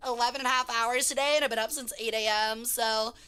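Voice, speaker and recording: A woman streamer chatting casually with her chat in a hoarse voice, reading messages aloud. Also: on the high side, steady tone, quick pace, pushed and bright. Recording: faint music behind.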